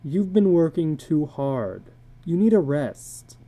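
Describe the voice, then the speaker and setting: A woman's voice: low-pitched, sing-song, takes it slowly, warm tone, heavily acted. A woman voicing a reassuring husband and father in a dramatic story reading, soothing and reasoning. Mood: placating, reluctant.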